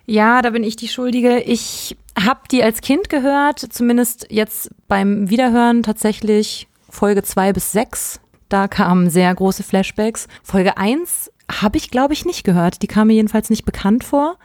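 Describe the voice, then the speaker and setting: A woman's voice: a little high, measured pace, darkish tone, no echo, clean studio sound. A woman, chatting casually as a podcast co-host. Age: 30s. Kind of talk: casual chat.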